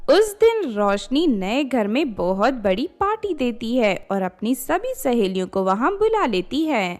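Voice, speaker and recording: A woman's voice: fairly high pitch, sing-song, measured pace, faint music behind. A woman storyteller reading scripted narration for a children's moral story.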